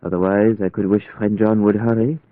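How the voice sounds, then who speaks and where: An actor playing a devout, learned vampire hunter in an old radio drama, speaking formally and deliberately with foreign-sounding word order. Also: male voice, lowish voice, level tone, no echo, lightly acted. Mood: grave. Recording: muffled, band-limited vintage radio recording.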